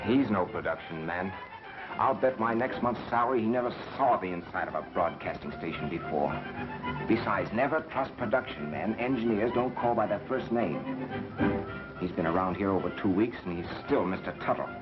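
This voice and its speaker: An actor playing a calm, matter-of-fact radio engineer turned amateur detective in a 1930s mystery film. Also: male voice, on the low side, moderate pace, natural voice. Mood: guarded. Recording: muffled 1930s film soundtrack with music.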